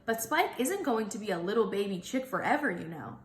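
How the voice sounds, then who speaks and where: Storybook farmer female reader: kindly man, gentle, reassuring, folksy, roomy sound. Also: medium pitch, sing-song, fairly quick, slight background noise, close to an everyday voice. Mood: gently explaining. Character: kindly adult male farmer, patient, reassuring. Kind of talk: children's storybook character voice.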